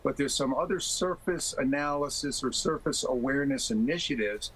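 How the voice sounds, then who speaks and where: A man speaking as an expert guest in a TV interview, explaining things in a measured, careful way. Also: medium pitch, fairly bright tone, breathy edge. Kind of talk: live TV interview.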